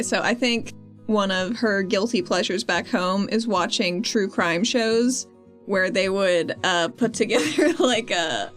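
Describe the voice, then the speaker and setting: A woman playing a tabletop role-playing game, chatting casually and joking as she improvises. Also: medium pitch. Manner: playful, joking.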